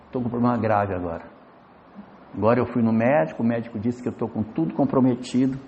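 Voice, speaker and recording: A man's voice: mid-range voice, darkish tone, slight background noise, close to an everyday voice. A man putting on a grumbling sickly woman teacher. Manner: self-pitying, grumbling. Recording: reverberant hall.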